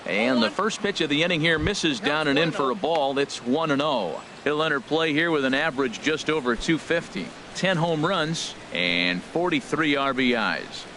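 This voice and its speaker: A man's voice: fairly high pitch, lively intonation, normal speed, natural voice. A man playing a composed, professional baseball play-by-play announcer in a video game, calling the action. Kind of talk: play-by-play sports commentary. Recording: stadium noise behind the commentary.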